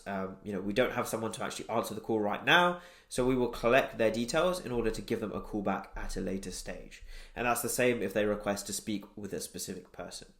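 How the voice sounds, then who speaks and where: Echoey male tutorial presenter, conversational. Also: mid-range voice. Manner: explanatory, conversational. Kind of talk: casual screen-share tutorial explanation. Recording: roomy, echoey voice recording.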